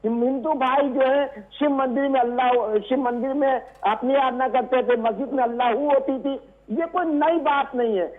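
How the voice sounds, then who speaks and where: A man's voice: very high voice, fairly even intonation, normal speed, phone-quality sound. A man arguing indignantly and emphatically as a debate guest over a remote call. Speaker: religious scholar, debate guest. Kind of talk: argumentative TV debate. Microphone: remote call link. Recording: thin, band-limited remote call audio.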